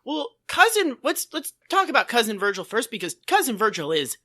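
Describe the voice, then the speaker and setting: A woman's voice: a little high, big pitch swings, fairly quick, sharp tone. A woman co-hosting a podcast, chatting casually and comedically with an irreverent, sweary, exclamatory way of talking. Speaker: podcast co-host. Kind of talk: casual comedic chat about an old TV show.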